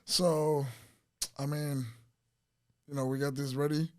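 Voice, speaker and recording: A man's voice: fairly high pitch, level tone, slow, a little mellow. A man co-hosting a podcast, bantering in slang and repeating phrases like "hey, listen". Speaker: podcast co-host. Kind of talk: casual chat.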